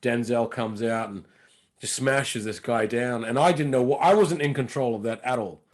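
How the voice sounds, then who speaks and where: A male comedian telling stories in a casual interview, lively, acting out the other people's lines. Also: mid-range voice, moderate pace. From lives in North London. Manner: animated, acts out dialogue in put-on voices. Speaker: comedian and impressionist, guest.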